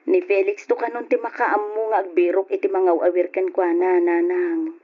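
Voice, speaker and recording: A determined young wife and mother acted by a woman in an old-fashioned radio drama, with a Filipino accent. She speaks in a pleading, emphatic way at a middling pitch, on a dull lo-fi recording. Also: fairly even intonation, moderate pace, husky, lightly acted. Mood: earnest, resolved.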